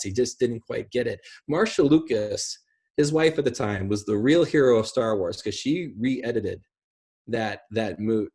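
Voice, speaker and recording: A man's voice: medium pitch, darkish tone, slightly rough. A man in his thirties chatting casually about films on a video call from home, rambling and emphatic. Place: indoor room at home. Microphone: video call, sitting back from a computer.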